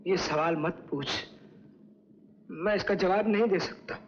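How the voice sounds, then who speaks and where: An actor playing an anguished, secretive brother and loyal friend in an old Hindi film melodrama, brooding and theatrical. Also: male voice, high voice, measured pace. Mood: anguished, resigned. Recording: dull, lo-fi old film soundtrack, hissy.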